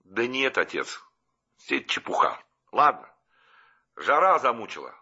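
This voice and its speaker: A man's voice: medium pitch, very animated, normal speed, bright, forceful tone, breathy edge, muffled recording, full character voice. An actor playing a nervous, evasive male friend on the telephone in an audio drama, hesitant and slangy. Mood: evasive, uneasy.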